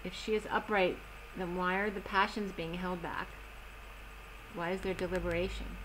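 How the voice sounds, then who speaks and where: A woman giving a tarot reading in a slow, soothing manner, slightly strained as she is losing her voice. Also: fairly low pitch, flat delivery, noisy background. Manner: slow, soothing reading of oracle cards with gentle pauses. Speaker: tarot reader. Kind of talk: solo tarot reading video.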